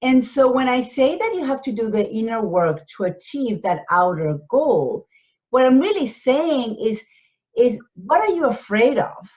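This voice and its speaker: A woman's voice: a little high, very animated, measured pace, darkish tone. Argentine-born woman, dull lo-fi sound. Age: 40s. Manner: explanatory, frequent rhetorical tags like "right?". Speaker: panelist, business coach. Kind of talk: conversational interview answers.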